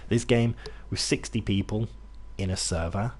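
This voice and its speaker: A young British man with a low, somewhat husky voice talks loosely to his viewers as he plays. His accent is a mixed British one, and he rambles, restarting sentences and filling gaps with ums. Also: moderate pace. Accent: British, mixed, not Brummie. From England, near Birmingham. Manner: rambling, many restarts and fillers, chatty asides. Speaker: gaming streamer. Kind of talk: casual gameplay commentary to chat.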